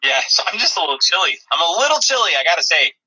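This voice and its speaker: A man's voice: fairly high pitch, very animated, rapid-fire, sharp tone, a little hiss or hum, poor audio. A man co-hosting a podcast with casual, joking chat. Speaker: podcast co-host.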